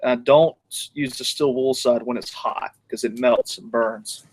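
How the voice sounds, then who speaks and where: A man's voice: medium pitch, steady tone, unhurried, poor audio. A man hosting a casual outdoor grilling vlog, rambling and joking as he cooks. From North Georgia, USA. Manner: rambling, jokey, talks while working the grill. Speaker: cooking show host.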